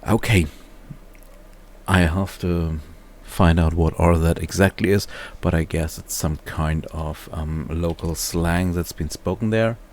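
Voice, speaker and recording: Deep male voice, slow delivery, casual reviewer, halting and unscripted. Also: steady tone, slight background noise. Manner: halting, searching for words, often chuckling to himself. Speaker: reviewer, video host. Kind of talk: casual off-the-cuff book review.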